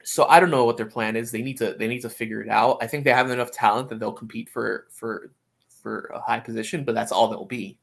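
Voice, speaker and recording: A man co-hosting a sports podcast, giving opinions in casual talk and often halting to find his words. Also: mid-range voice, fairly even intonation, vocal fry. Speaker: podcast co-host. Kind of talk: casual soccer discussion.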